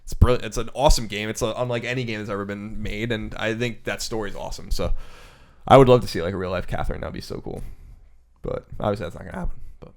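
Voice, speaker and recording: A man's voice: lowish voice, fairly even intonation, fast talker, vocal fry. A man co-hosting a podcast, talking casually and with emphatic opinions in a panel discussion. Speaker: podcast co-host.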